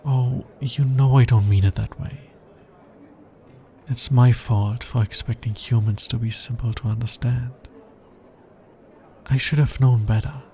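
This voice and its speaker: A man playing an anxious, logical alien scientist in a soft, intimate ASMR roleplay, speaking in a hesitant, formal way. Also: mid-range voice, fairly even intonation, unhurried, long pauses, a little hiss or hum, close to an everyday voice. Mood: calmer, fond.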